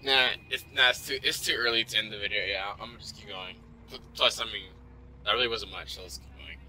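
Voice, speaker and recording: A man's voice: on the low side, fast talker, pushed and bright, slight background noise, rough recording. A man doing informal gaming commentary and reading visual novel narration aloud, stumbling now and then, over game music. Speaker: let's play host.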